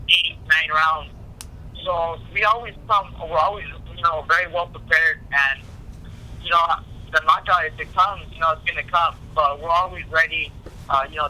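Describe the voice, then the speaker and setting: A man speaking as a boxer in a casual phone interview, halting and repeating himself, with frequent "you know". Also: a little high, pushed and bright. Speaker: professional boxer being interviewed. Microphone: telephone call. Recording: tinny phone line.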